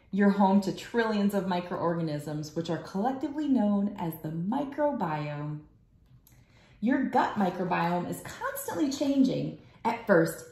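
A woman's voice: mid-range voice, darkish tone. Woman reading aloud in an echoey room. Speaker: children's book read-aloud host. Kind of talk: reading a picture book aloud. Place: small room at home, indoors.

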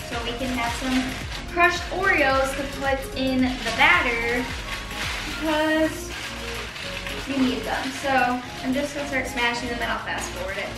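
A teenage girl with a high voice talks through her baking steps in a chatty, easygoing way. She is recorded by a camera some distance away in a roomy home kitchen, with music playing underneath. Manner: chatty, explains each step while working. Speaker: baking vlogger. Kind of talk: casual baking tutorial. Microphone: far camera on the counter.

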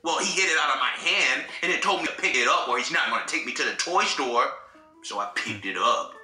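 Puppet comedy sidekick kid, played by a man: slangy, mischievous, bright lively voice, echoing room. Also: medium pitch, brisk, soft background music. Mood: blithe. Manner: slangy, blithe, egging on. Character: excitable, mischievous young boy puppet, easygoing sidekick.

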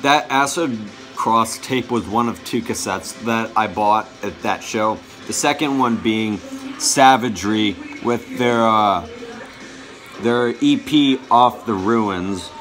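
Slow-talking young man, low voice. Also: a bit bright, faint music behind. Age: young adult. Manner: halting, self-correcting. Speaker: vlogger showing a music collection. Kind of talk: casual show-and-tell to camera. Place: small bedroom, indoors.

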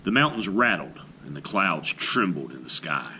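A man reading a children's storybook aloud with expressive, theatrical, deliberate narration. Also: sits low, a little hiss or hum, poor audio.

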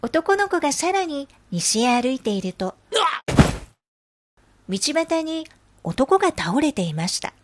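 A woman's voice: high voice, sing-song, slow, pauses often, a little hiss or hum. A woman giving scripted narration for an educational storybook, with music behind her. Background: background music.

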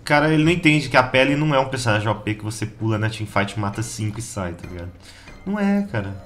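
Brazilian male gaming host, mid-pitched, a touch hoarse, informal and chatty, keyboard clatter behind. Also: animated, quick pace, a bit bright. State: slightly hoarse. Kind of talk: casual gameplay guide commentary. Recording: keyboard clatter and background noise.

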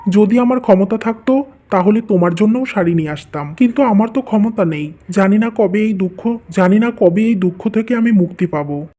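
An actor plays a humble young man in a cartoon family tale. He is a dutiful husband and brother with a mellow, soft-toned voice and a gentle manner. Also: sits high, brisk, highs cut off. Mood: sorrowful. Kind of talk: Bengali cartoon story voice acting.

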